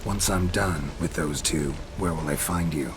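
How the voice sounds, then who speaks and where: An actor playing a gruff, weary mercenary monster hunter in a video game, laconic and deadpan, with a gravelly voice. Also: male voice, very deep voice, measured pace, background noise. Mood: dry, businesslike. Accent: North American. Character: gruff, weary male monster-hunting mercenary, dry and sardonic. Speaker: player character.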